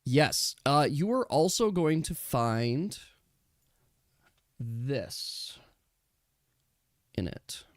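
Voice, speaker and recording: Slow, animated man running a tabletop game. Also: medium pitch, dry recording, studio quality. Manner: halting, thinking aloud. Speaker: game master. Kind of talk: casual improvised tabletop game narration.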